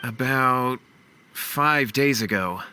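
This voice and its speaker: A man's voice: mid-range voice, slow pace, sharp tone, slight background noise. A desperate pleading young man. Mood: worried. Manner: anxious, pleading, earnest. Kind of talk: video game voice acting. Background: game music.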